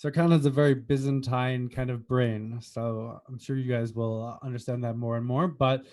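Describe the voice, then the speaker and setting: A man's voice: medium pitch, steady tone, normal speed, darkish tone. An American man co-hosting a podcast in casual chat, with a wry, teasing manner. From United States. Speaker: podcast co-host.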